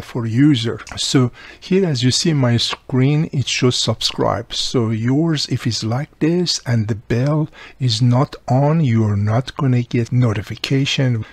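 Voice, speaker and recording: Gravelly, husky man explaining step by step. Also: mid-range voice, no echo. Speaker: host of a radio repair channel. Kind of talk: instructional video narration.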